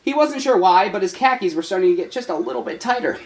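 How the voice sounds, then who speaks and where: A woman's voice: medium pitch, animated, quick pace, noisy background. A woman reading a comic story live as part of a comedy troupe, a little tipsy and stumbling over words. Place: live show in a room.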